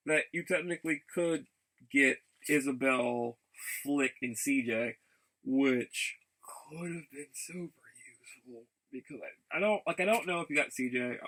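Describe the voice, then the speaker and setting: A man chatting casually in a rambling vlog, haltingly, often repeating and restarting his phrases. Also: mid-range voice, fairly bright tone, poor audio. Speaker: vlogger.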